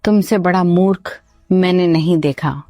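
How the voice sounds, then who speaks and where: A woman playing a greedy, bitter village wife in a cartoon story, scolding deliberately. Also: on the low side, mellow, dry recording, studio quality, faint music behind, close to an everyday voice. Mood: scornful, bitter.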